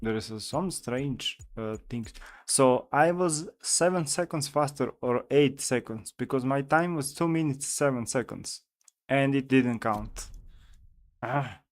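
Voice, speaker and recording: A man's voice: mid-range voice, a little slow. A man from Europe streaming a racing game, chatting casually and searching for his words as he plays. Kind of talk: casual live-stream commentary while driving.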